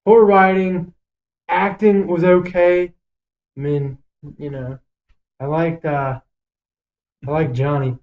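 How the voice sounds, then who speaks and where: A man's voice: fairly high pitch, little pitch movement, slow, long pauses, darkish tone, poor audio. A man co-hosting a casual podcast, talking in a blunt, foul-mouthed and emphatic way as he gives his opinions. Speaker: podcast co-host. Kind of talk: casual podcast chat, ranking list.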